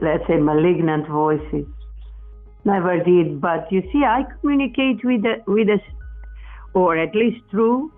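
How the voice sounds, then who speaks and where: An older woman from Portugal, a native Portuguese speaker, talking as a guest and searching for words with frequent restarts. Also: on the low side. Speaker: guest, researcher of spirit voice recordings. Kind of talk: interview over a remote call. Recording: tinny phone-like line.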